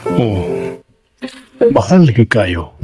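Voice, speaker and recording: Grieving, confiding Visayan widower. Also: male voice, lowish voice, big pitch swings, gravelly, music in the background, full character voice. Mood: wistful. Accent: Visayan Philippine. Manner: halting, sentimental, confiding. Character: grieving widowed master of the house, a father, gentle and earnest. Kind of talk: Filipino radio drama acting.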